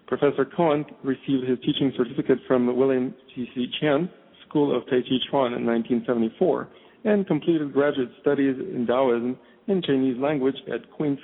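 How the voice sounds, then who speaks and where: A man's voice: mid-range voice, slightly rough. Male host on a tinny phone line. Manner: measured, formal, stumbles now and then. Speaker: show host, interviewer. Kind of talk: reading a formal guest introduction, then interviewing.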